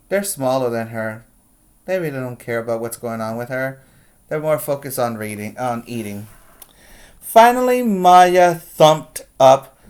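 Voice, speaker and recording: A teacher reading a picture book aloud to preschoolers, stressing the story words and pausing to explain them to the children. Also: medium pitch.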